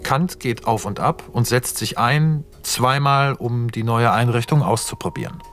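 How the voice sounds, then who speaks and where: A man's voice: mid-range voice, a little slow, fairly bright tone. Casting: male narrator for radio drama, mid-range voice, reads stage directions precisely and factually, faint music. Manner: matter-of-fact, precise. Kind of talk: scripted narration in a German radio play.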